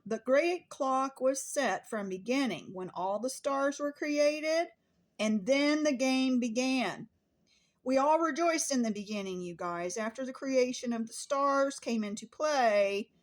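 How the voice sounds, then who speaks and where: A woman talking casually and earnestly to her viewers as a spiritual vlogger, stating things declaratively and repeating phrases for emphasis. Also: fairly high pitch. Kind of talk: casual spoken monologue to viewers.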